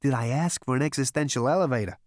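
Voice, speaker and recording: A man's voice: medium pitch, fast, a little mellow, no room sound, studio quality, pitched above the performer's own voice, lightly acted. A man playing a brash space rogue in a storybook, impatient, sarcastic and given to exclaiming. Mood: exasperated, incredulous.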